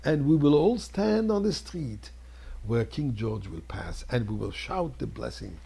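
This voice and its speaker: A man voicing a solemn orphanage director instructing children in a told story, deliberate and husky. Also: medium pitch, very animated, warm tone, full character voice.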